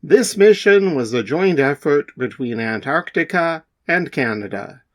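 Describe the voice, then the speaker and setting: A man reading the part of an excitable male announcer at a space center landing, in a broadcast style. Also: on the high side, measured pace. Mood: excited.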